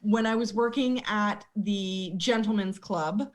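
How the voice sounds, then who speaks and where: A woman's voice: mid-range voice, takes it slowly. A young woman from Canada, a former bartender, casually telling stories in an interview, laughing often and swearing freely. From Alberta, Canada. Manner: laughing, swears freely, animated quoted voices.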